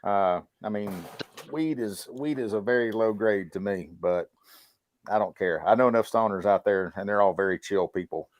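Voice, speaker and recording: Man, American accent, deep-pitched, dry sound, casual co-host, colloquial, emphatic repeats. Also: moderate pace, no room sound. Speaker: podcast co-host. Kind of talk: casual livestream chat.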